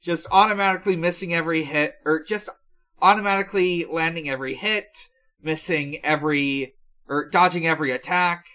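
A man's voice: fairly high pitch, steady tone, a little fast, sharp tone. A man in his twenties in casual podcast chat, hesitant and thinking aloud as he goes. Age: 20s. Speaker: podcast co-host.

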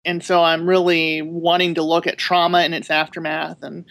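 A woman horror author calmly explaining her work in a podcast panel discussion. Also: fairly low pitch, moderate pace. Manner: reflective, thoughtful, steady explaining.

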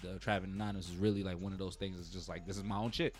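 A man's voice: low-pitched, level tone, rapid-fire, music in the background. A young man from Brooklyn with a New York accent, hosting a podcast in a laid-back, slangy, profane way that turns hyped. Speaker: podcast host. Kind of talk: casual podcast conversation.

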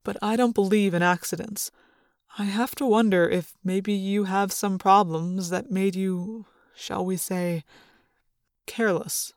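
A woman voicing a middle-aged male school official who speaks in an earnest, measured, patronizing way, as in an audiobook. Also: mid-range voice, steady tone, dry recording, studio quality, voice pushed down, lightly acted. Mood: concerned, probing.

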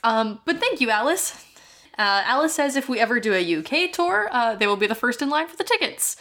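A young woman co-hosting a podcast in casual, playful chat, quick to laugh and poke fun at herself. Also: fairly high pitch, big pitch swings, pushed and bright. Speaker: podcast co-host.

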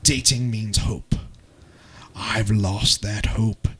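A man voicing a grieving single mother in a theatrical, solemn English accent, with drawn-out pauses, as a dramatic reading. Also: lowish voice, steady tone, fairly bright tone, slight background noise, pitched below the performer's own voice. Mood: grieving, resigned. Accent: English, put on.

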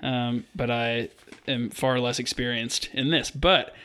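A man's voice: medium pitch, steady tone, unhurried, bright, forceful tone, clean tone. A man in his twenties co-hosting a casual sports podcast, laid-back and joking, with an unpolished delivery full of fillers. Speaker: podcast co-host.

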